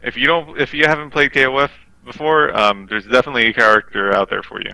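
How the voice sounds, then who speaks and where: A man's voice: mid-range voice, fairly even intonation, fast, vocal fry, pushed and bright, no room sound, rough recording. A man casually discussing a fighting game, explaining things in detail as a guest on a talk show.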